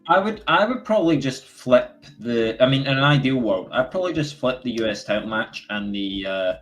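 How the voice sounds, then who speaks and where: A man's voice: medium pitch, animated, normal speed, fairly bright tone, faint music behind. A man hosting a casual live stream and talking in a chatty, easygoing way. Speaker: host.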